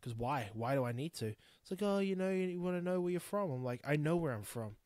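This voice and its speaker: A man's voice: on the high side, animated, fast, a little mellow, no room sound, studio quality. Blunt, swearing New Zealand man in his thirties. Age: 30s. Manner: blunt, swears freely, rambling and heartfelt. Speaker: podcast host. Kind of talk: candid solo podcast monologue.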